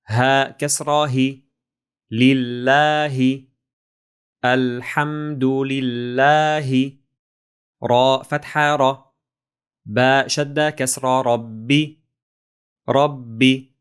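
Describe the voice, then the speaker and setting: A man teaching reading in a syllable-by-syllable spelling drill, with deliberate, precise enunciation and drawn-out syllables. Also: mid-range voice, a bit bright, smooth.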